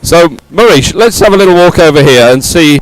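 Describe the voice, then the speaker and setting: A man's voice: on the high side, lively intonation, fairly quick, dry recording, low-quality recording. A man presenting live outdoor sports coverage, effusive and often repeating his words as he chats and interviews. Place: outdoors at a regatta stadium.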